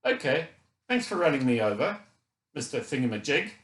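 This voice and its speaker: A man's voice: mid-range voice, expressive, unhurried, fairly bright tone, roomy sound. A man voicing an injured, frustrated boy who thinks aloud in a chatty, candid, colloquial way, as first-person audiobook narration. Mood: angry, sarcastic. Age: child.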